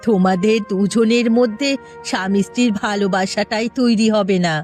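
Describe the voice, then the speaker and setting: A woman's voice: mid-range voice, fairly even intonation, normal speed, darkish tone, faint music behind, natural voice. A woman acting a caring older mother-in-law. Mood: concerned. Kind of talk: melodramatic cartoon voice acting.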